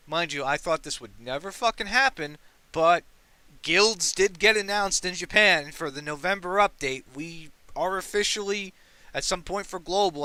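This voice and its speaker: Excitable, bright-voiced young man podcasting. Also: fairly high pitch, clear, resonant tone. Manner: excitable, rambling, swears casually. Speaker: podcast host. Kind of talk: casual gaming podcast chat.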